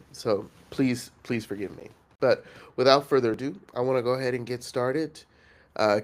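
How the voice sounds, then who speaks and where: A man hosting a coaching challenge, speaking earnestly and reflectively with pauses and fillers, his voice nasal from congestion. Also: mid-range voice. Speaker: host and facilitator of an online coaching challenge. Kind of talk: motivational coaching talk.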